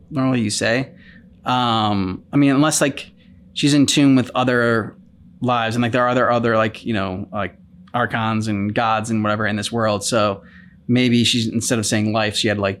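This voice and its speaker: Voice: medium pitch, slight background noise. Reaction host, mid-range voice, casual and exploratory conversational delivery. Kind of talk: casual chat.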